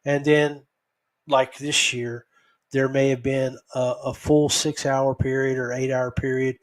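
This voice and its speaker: An American man hosting a podcast solo, rambling casually in a halting, folksy way. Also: medium pitch, level tone. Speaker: podcast host.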